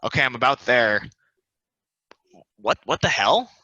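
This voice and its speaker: Bright, low-voiced anxious woman acted. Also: animated, a little slow, no room sound, muffled recording, full character voice. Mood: giddy disbelief. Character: anxious, overwhelmed woman driver hurrying to a prize. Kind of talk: stage play table read.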